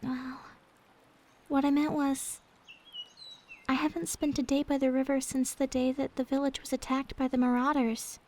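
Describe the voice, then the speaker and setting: High, sweet-voiced gentle maid, acted by a woman. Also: flat delivery, normal speed, close to an everyday voice. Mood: hesitant, wistful. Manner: soft, sweet, tender, hesitant when confiding. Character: gentle, devoted young maid with a sorrowful past. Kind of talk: ASMR roleplay.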